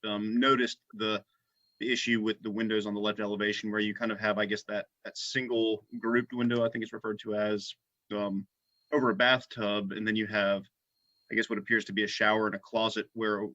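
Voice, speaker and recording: A man's voice: on the low side, level tone, fairly quick, bright, forceful tone, no echo, muffled recording. A man commenting as a commissioner in an unscripted meeting, with tentative, qualified phrasing.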